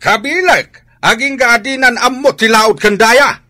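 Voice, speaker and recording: A man's voice: very high-pitched, unhurried, bright, forceful tone, full character voice. A man with an Ilocano accent acting a harsh, short-tempered, scornful father in a radio drama. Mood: angry. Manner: scolding, blustering.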